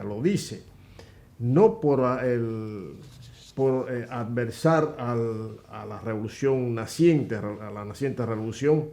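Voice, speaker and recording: A man's voice: medium pitch, darkish tone. A man co-hosting a political commentary show, explaining in a hesitant way with many fillers. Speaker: co-host.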